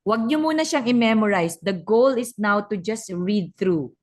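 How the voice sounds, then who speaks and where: A woman with a Filipino accent coaching a class over a video call, brisk and teasing, mixing English and Tagalog. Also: medium pitch, expressive. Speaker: communication skills trainer. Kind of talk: online class instruction.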